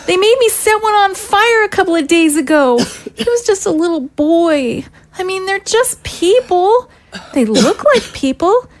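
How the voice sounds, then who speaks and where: An earnest, high-voiced teenage girl. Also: animated, measured pace. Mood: distressed. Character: earnest, frightened teenage girl, a reluctant militia trainee. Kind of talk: audio drama acting.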